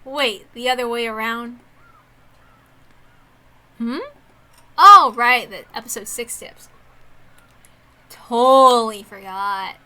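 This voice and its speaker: A woman's voice: on the high side, slow, long pauses, sharp tone, clear, resonant tone. A woman reading visual novel text aloud expressively as a streamer, with casual asides in between. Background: game soundtrack music.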